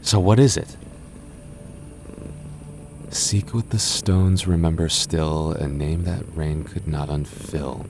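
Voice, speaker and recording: A soft-spoken young goth boyfriend, as a man plays him, deep and unhurried, who stammers a little while he reassures. The ASMR roleplay has a faint hiss under it. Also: steady tone, full character voice. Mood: puzzling. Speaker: voice actor.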